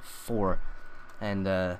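A young man talking through a software tutorial in a loose, rambling way, full of ums and uhs. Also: low-pitched, level tone, drawn-out words, a little hiss or hum. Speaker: tutorial maker. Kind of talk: casual screen-recorded tutorial.

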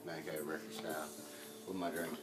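A drunk young man joking and rambling rowdily while filming a late-night party in a small home bar room, swinging between shouts and mumbles. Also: very deep voice, flat delivery, normal speed, music in the background. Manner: rowdy, slurring, joking, often shouting or mumbling.

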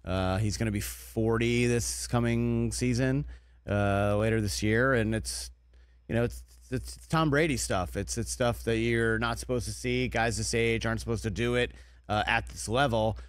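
A man's voice: mid-range voice, fairly even intonation, normal speed, clean tone, dry recording. Man co-hosting sports talk, stammering. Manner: stammering restarts, conversational. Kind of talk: sports talk show chat. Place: TV studio. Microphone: desk broadcast mic.

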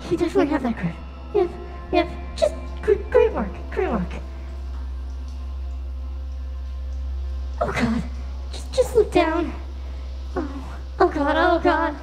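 A woman's voice: extremely high pitch, expressive, moderate pace. A woman voicing a nervous, bumbling robot sphere in an amateur game fan dub, stammering and rambling. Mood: frightened. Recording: echoey amateur mic, music underneath.

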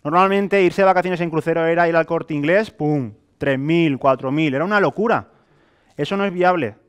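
A young man presents to a live audience in a Spain Spanish accent. His voice sits a little high for a man. He talks colloquially and animatedly, throwing out rhetorical questions and everyday examples as he sells. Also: moderate pace, clear, resonant tone. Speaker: sales presenter. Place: hotel meeting room with a small audience, indoors.

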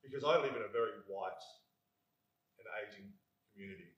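A blunt, middle-aged Australian man with a low, loud voice talks candidly to a seminar audience. He is heard from across an echoey university room, picked up by a far-off camera. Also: expressive, a little fast, dull audio. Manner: blunt, candid and self-deprecating. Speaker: community recovery worker. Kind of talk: informal talk to a seminar audience.

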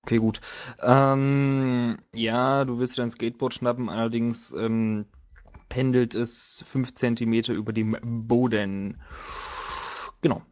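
A man leads a tabletop role-playing game as game master. His voice sits at a medium pitch. He describes scenes off the cuff, in a halting, hesitant way, and slips into casual banter. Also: flat delivery, a little mellow.

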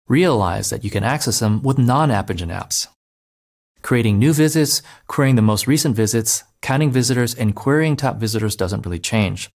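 A man of ordinary pitch narrates a programming walkthrough. His delivery is clear, even and instructional, like a scripted voice-over for a tutorial. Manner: clear, steady step-by-step instruction. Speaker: developer advocate. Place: voice-over over screen recordings.

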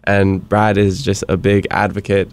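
A man's voice: sits low, monotone, a little slow, clear, resonant tone. A young man from Hawaii hosting a casual podcast interview in a small studio, upbeat and joking, moving between English and Hawaiian. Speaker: podcast host. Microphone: studio mic on boom arm.

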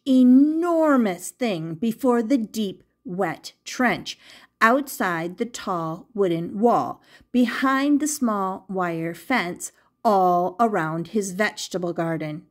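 An older woman, a grandmother, reading a children's picture book aloud expressively and putting questions to her young listeners. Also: medium pitch. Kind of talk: animated children's storybook read-aloud.

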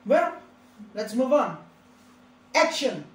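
Bossy, fed-up director played by a woman, fairly high voice, roomy video-call sound. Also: big pitch swings, slow pace. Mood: exasperated. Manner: curt, commanding. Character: exasperated, bossy film director. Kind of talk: amateur dramatic reading.

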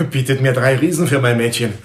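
A man's voice: medium pitch, moderate pace, roomy sound, lightly acted. A man acting in a radio drama as a hot-tempered, defensive bar owner, blustering and indignant.

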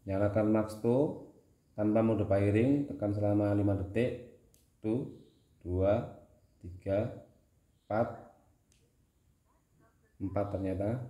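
A man's voice: on the low side, slow pace, long pauses, dark tone. A man with a Javanese Indonesian accent, giving a casual how-to demonstration as a tutorial host. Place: indoors at home, small room.

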